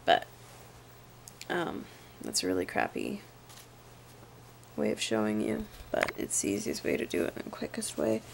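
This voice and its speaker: A woman's voice: extremely low pitch, wildly swooping pitch, normal speed, vocal fry, pauses often, slight background noise. Stuffy-nosed woman chatting on her sofa. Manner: chatty and laid-back, trails off quietly at the ends of sentences. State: stuffy nose from a cold, tired. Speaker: vlogger. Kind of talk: casual vlog answering tag questions. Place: living room, sitting on a sofa, indoors. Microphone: webcam or handheld camera at arm's length.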